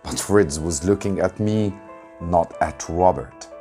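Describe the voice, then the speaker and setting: Slow, low-voiced man reading a story. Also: mellow, faint music behind. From Egypt. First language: Arabic. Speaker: English teacher reading aloud. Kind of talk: narrated storybook reading for students.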